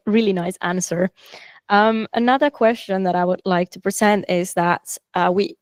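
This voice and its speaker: A woman moderating a conference Q&A, asking polite, measured questions of the presenters. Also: on the low side, darkish tone, no room sound. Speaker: event moderator.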